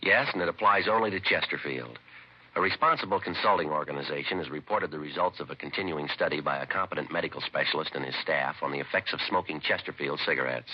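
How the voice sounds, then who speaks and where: A man's voice: rumbling low, quick pace, breathy edge. An American man reading a scripted 1950s radio advertisement in a precise, emphatic way. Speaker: commercial pitchman. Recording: dull vintage radio recording.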